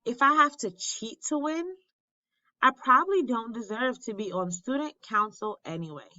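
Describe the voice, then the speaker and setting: A woman's voice: a little high, animated, normal speed, sharp tone, no room sound, dull audio, voice pushed up. A woman reading the part of an earnest, fair-minded third-grade boy in a storybook, thoughtful and sincere.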